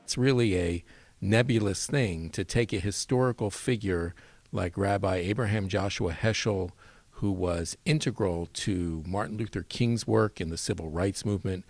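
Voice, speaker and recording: A theater director gives an explanatory interview. He has a very deep male voice, recorded dry with little room sound. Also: moderate pace, darkish tone, no echo. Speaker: play director being interviewed.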